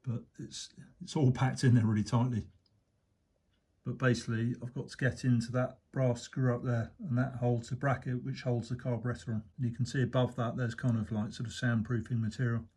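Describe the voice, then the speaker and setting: A man, casually explaining a motorcycle repair as he works, in a relaxed, rambling way with plenty of fillers. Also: mid-range voice, husky. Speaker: motorcycle restorer, channel host. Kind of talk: casual workshop talk-through. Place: workshop or shed.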